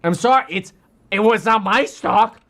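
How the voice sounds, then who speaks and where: A man co-hosting a livestream, reacting to a clip in an animated, joking way. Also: sits high, moderate pace.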